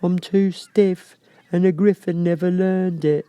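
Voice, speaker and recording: A man voicing a mournful, self-pitying old turtle creature in a storybook reading, with drawn-out, sighing, doleful delivery. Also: sits high, steady tone, warm tone, smooth, no echo, voice pushed up. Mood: wistful. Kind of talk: storybook character voice.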